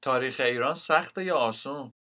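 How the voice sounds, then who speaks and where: A mid-pitched, bright voice asks short scripted questions at a very fast clip. The delivery stays crisp and clipped. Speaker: dialogue speaker in a language lesson.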